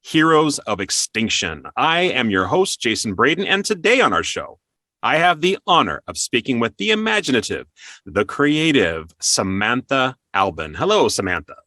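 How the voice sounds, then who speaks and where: A man's voice: medium pitch, sing-song, normal speed, pushed and bright, dry recording, clean studio sound. A man hosting an interview show, speaking in an upbeat, courteous, announcer-like way. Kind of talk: scripted show intro and interview.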